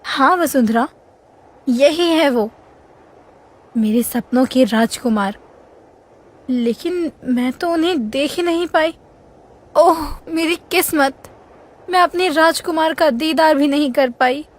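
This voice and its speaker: A wistful young fairy-tale princess, speaks very high and slowly in long hall reverb. Her delivery is plaintive, drawn-out and dramatic, full of lovesick longing. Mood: excited, then regretful. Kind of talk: animated fairy-tale voice acting.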